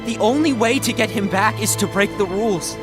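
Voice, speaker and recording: A man plays an intense, secretive young rebel in a fantasy video game. He speaks in a serious, brooding way. Music plays clearly behind him. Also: high-pitched, a little fast, lightly acted. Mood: desperate, urgent. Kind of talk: dramatic voice acting for a fantasy game.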